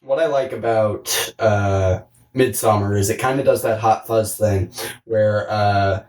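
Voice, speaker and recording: A man's voice: lowish voice, measured pace, darkish tone, distant mic. A man co-hosting a podcast, telling rambling, profanity-laced stories in casual chat and acting out reactions. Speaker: podcast co-host. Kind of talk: casual chat about films. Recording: roomy, echoey, away from the mic.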